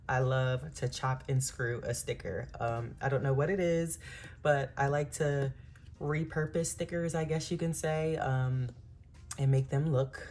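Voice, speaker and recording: A woman's voice: deep voice, darkish tone. A woman in her thirties hosting a planning channel, chatting casually with many ums while she works, a little stuffy from a cold. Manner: chatty, many ums, Southern-style "y'all".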